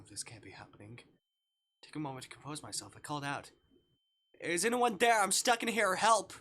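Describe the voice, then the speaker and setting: A man's voice: mid-range voice, breathy edge. A man livestreaming a visual novel, reading it aloud theatrically and reacting as he goes. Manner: theatrical, swings from hushed narration to shouting.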